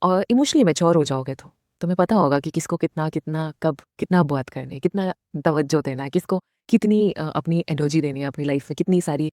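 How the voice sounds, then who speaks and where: A woman's voice: low voice, a little fast, mellow, dry recording, clean studio sound. A woman in her thirties giving a heartfelt motivational talk to her viewers. She speaks earnestly, mixing Hindi with English phrases. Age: 30s. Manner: speaks directly to viewers, asks them questions. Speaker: vlogger.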